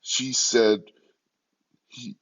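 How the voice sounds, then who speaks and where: A hoarse young man hosting a casual solo podcast from a hotel room, talking quietly and rambling. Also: mid-range voice, steady tone, very slow, lo-fi sound. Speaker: podcast host.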